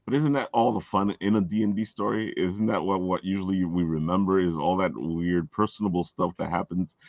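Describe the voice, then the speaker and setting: A man hosting a casual chat in an enthusiastic, jokey, halting way. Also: low voice, fairly even intonation, a little mellow, no room sound, dull audio. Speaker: show host.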